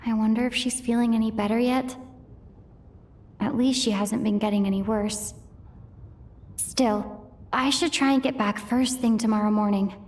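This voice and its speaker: A woman's voice: a little high, fairly even intonation, moderate pace, pauses often, a little mellow, a little hiss or hum, lightly acted. An actress playing a caring, thoughtful young female traveler in a video game, musing to herself. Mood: pensive. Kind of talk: video game voice acting, inner monologue.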